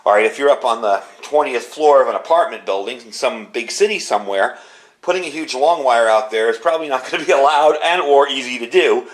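A middle-aged man with a medium-pitched voice hosts an informal demonstration at his workbench. He explains things in a chatty, casual way, talking viewers through the gear step by step. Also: fairly quick. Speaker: video host demonstrating gear. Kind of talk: casual hands-on product walkthrough. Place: indoor workbench.

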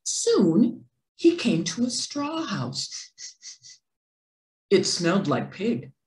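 A middle-aged woman reading a children's story aloud, theatrical and deliberate, stressing key words. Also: medium pitch, huge pitch swings, darkish tone. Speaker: children's read-aloud presenter. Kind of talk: expressive picture-book narration. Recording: roomy indoor echo.

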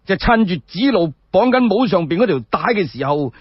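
A male Cantonese storyteller narrates in a high, very lively voice with a husky edge. He is colloquial and expressive, breaking off for chatty asides. The radio recording is dry and a little dull. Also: moderate pace, dry recording.

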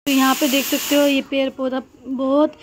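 A woman's voice: high voice, little pitch movement, normal speed. A young woman talks in a chatty, rambling vlog style. She records on a phone held at arm's length at home, with noticeable ambient noise behind her. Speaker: housewife vlogger. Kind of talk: casual chat to camera. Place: small home rooms, kitchen and balcony. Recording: clear background noise, household ambience.

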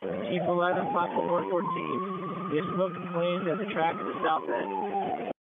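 A man's voice: high voice, normal speed, noisy background. A man making firefighter dispatch calls over a two-way digital radio. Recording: narrow, lo-fi digital radio link.

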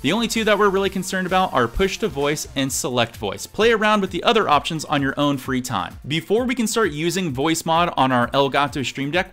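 A man in his thirties with a slightly high voice presents a tech tutorial. He talks to viewers with energy, over background music. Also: a bit bright. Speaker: video host. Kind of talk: upbeat scripted tech tutorial narration.

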